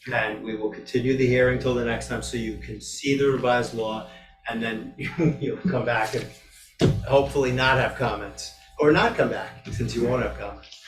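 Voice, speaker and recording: A man chairing a village board public hearing, brisk and informal with light jokes, speaking at a table microphone. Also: medium pitch, steady tone. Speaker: meeting chair running the hearing. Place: village board meeting room. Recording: roomy meeting hall with music.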